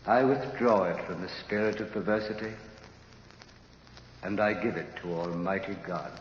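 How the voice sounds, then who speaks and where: An actor playing a solemn, merciful holy man's spirit, intoning gravely with long reverb in vintage radio drama. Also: low voice, moderate pace. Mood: solemn blessing. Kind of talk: 1930s radio drama acting. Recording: echoey, dull, noisy vintage radio recording.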